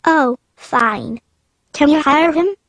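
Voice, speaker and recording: An actress playing a brisk, practical working mother with a no-nonsense manner in an old-time radio drama. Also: sits high, a bit bright, no room sound, heavily acted. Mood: businesslike.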